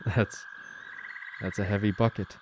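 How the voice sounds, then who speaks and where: A man playing a gentle, courteous stranger in a radio drama, speaking in a measured way. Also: lowish voice, level tone, background noise, natural voice. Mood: kindly. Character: gentle, kindly male stranger, a traveler.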